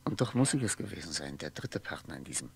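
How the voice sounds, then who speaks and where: An actor playing a lonely, bitter middle-aged man in a 1960s radio play monologue, brooding wearily and haltingly, often in a hushed voice. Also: extremely low pitch, sing-song, exaggerated voice. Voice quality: husky, gravelly.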